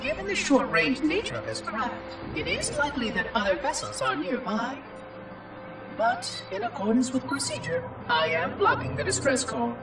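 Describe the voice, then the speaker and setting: An echoing, very high, synthetic voice of an ancient robot caretaker. He speaks in formal, exact, theatrical phrases, fussy and dutiful yet curious and lonely, with sweeping intonation, like a log entry in a sci-fi video game. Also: huge pitch swings, moderate pace, exaggerated voice. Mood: curious, optimistic. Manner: precise, formal, theatrical enunciation. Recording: distant, echoing, music underneath. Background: music.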